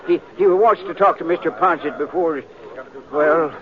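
A man's voice: fairly high pitch, normal speed, close to an everyday voice. An actor playing an earnest, polite young man who speaks hesitantly and stammers in an old radio western. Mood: anxious, urgent. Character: earnest, nervous, polite young small-town fellow. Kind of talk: 1940s-50s radio western acting. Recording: dull old radio recording, crowd behind.

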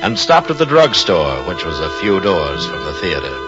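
A grave male narrator of a radio suspense tale, with a very low voice and measured, literary delivery. Music plays clearly beneath him on a muffled vintage recording. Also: huge pitch swings.

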